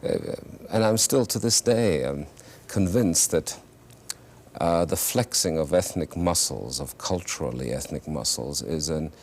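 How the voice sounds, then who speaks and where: A man, an actor and singer whose first language is German, telling stories in a reflective, halting way with wry humor in a television interview. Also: very deep voice, lively intonation, a little mellow. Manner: thoughtful, halting with many restarts, wry humor. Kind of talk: reflective conversational storytelling. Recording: faint hiss, 1970s TV studio.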